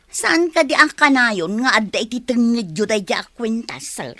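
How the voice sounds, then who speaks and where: An actress with an Ilocano accent playing a nosy, deferential household maid in a radio drama. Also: female voice, fairly high pitch, expressive, moderate pace, pushed and bright, no room sound. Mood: surprised, curious.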